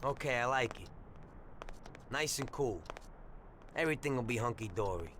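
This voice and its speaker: A man's voice: mid-range voice, expressive, normal speed, lightly acted. A man playing a brash, cocky, menacing gangster robber in a video game, blunt and commanding. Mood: cocky, pleased. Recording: loud vehicle and ambient noise. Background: loud ambience and vehicle noise.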